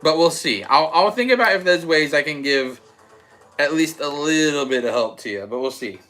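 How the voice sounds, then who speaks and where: A young man with an Australian accent softened by American, chatting casually and rambling a little as he hosts a livestream and answers comments. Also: fairly high pitch, lively intonation, moderate pace. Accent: Australian with some American. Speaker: livestream host. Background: background music.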